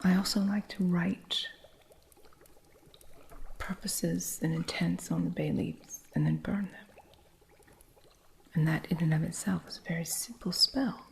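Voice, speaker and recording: A woman voicing an eccentric witch shopkeeper who mentors her apprentice, speaking in soft, unvoiced whispers for an ASMR roleplay. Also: lowish voice, steady tone, unhurried, vocal fry, long pauses, slight background noise. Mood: gentle whispering.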